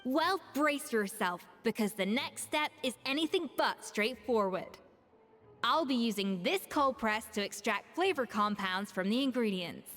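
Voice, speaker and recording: A woman voicing a proud, exacting young female master chef in a video game, crisp and self-assured. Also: a little high, lively intonation, a little slow, fairly bright tone. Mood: brisk, instructive. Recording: game music behind voice.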